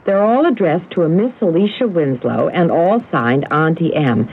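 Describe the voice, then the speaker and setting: An actress playing a curious, romantic wife with a sleuthing streak in a radio drama, speaking in an expressive, teasing way. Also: female voice, deep voice, normal speed. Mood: intrigued, excited. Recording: tinny, hissy old radio broadcast recording.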